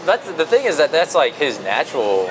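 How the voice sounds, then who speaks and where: A man's voice: a little high, very animated, normal speed, a crowd in the background. A man hosting a casual, joking chat over dinner at a restaurant, laughing often. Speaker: restaurant owner and show host. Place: restaurant table. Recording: noisy restaurant background.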